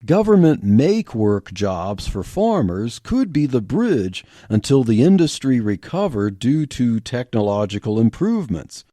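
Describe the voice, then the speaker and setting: A man's voice: mid-range voice, very animated, unhurried, hardly any pauses, dark tone, no echo. A man reading a story aloud on his podcast, narrating it expressively.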